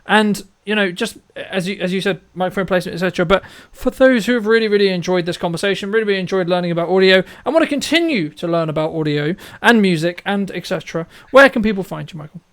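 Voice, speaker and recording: A man with a high-pitched voice hosts a casual interview. He rambles and repeats himself, tacking short questions onto his points to check the listener follows. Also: fast talker, hardly any pauses, fairly bright tone. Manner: rambling, repetitive, checks in with "right?". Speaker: interview host, filmmaker.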